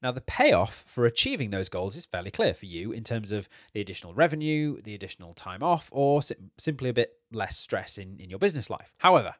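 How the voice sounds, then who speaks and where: A man hosts a business podcast with a slight croak from a cold. He sounds upbeat and encouraging, and his pitch moves around a lot. The sound is dry and close, with no room echo. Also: sing-song, brisk, hardly any pauses. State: hoarse from a cold. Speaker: podcast host. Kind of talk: solo business podcast talk.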